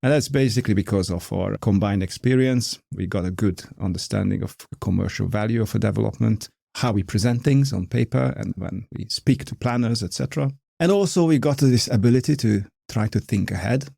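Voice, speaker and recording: Podcast guest: man, 40s, Hungarian accent, low gravelly voice, mellow tone, dry close sound, measured and explanatory. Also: dry recording. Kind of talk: casual conversation.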